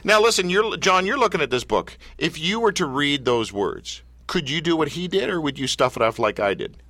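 A man's voice: fairly high pitch, big pitch swings, moderate pace, bright, forceful tone, no room sound. A man hosting a radio show, asking questions with eager enthusiasm. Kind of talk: casual radio interview.

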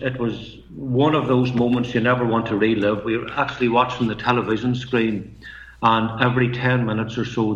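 A middle-aged man with a Northern Irish accent, a poet and storyteller, speaking softly and reflectively in a halting, measured way. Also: mid-range voice. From Northern Ireland. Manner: soft-spoken, measured, halting restarts. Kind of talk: reflective interview talk. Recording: muffled, roomy remote line.

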